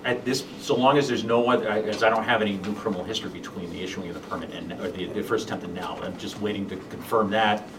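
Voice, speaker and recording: Low male, police chief at a hearing, hesitant delivery, distant noisy mic. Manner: halting, with many fillers and restarts. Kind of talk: testifying at a public board hearing. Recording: distant room mic with background noise.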